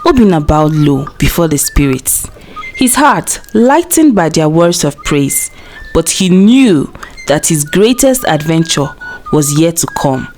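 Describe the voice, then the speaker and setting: A woman's voice: sits low, very animated, measured pace, a little mellow, slight background noise, poor audio. A woman storyteller narrating a scripted folktale in a dramatic storybook delivery.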